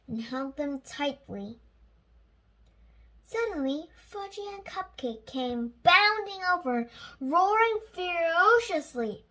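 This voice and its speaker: A child reading a storybook aloud in a halting way, correcting slips along the way. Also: very high-pitched, bright, forceful tone.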